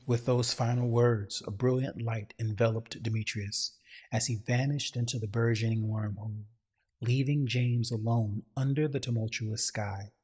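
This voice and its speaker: Male story narrator, heavily husky, medium pitch, solemn and grandiose delivery. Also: steady tone, moderate pace, darkish tone. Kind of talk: dramatic scripted story narration.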